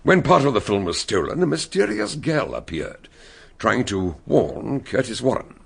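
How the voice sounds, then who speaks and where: An actor playing a shrewd male detective who calmly lays out how the crime was solved in a radio drama. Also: mid-range voice, vocal fry, breathy edge, exaggerated voice. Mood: explaining. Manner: explaining, measured.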